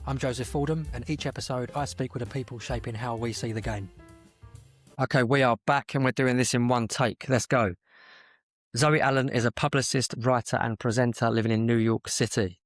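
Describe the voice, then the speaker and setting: A man's voice: medium pitch, steady tone, a little fast, no echo, soft background music. A man hosting a podcast, speaking as a conversational interviewer. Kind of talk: podcast intro and casual interview chat.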